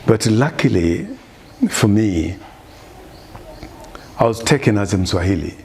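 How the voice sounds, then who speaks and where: Older East African man, low voice. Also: expressive, unhurried, gravelly, a little mellow, a little hiss or hum. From Uganda, grew up partly in Mombasa, Kenya. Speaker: artist being interviewed. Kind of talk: casual reminiscing interview. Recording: busy chatter in the background. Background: crowd chatter.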